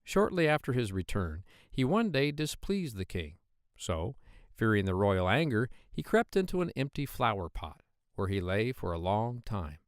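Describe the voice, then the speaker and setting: A man reads a classic children's tale as an audiobook narrator. His mid-pitched voice rises and falls with lively expression, and the sound is close and dry. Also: normal speed, no room sound. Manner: expressive storytelling. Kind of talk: scripted storybook narration.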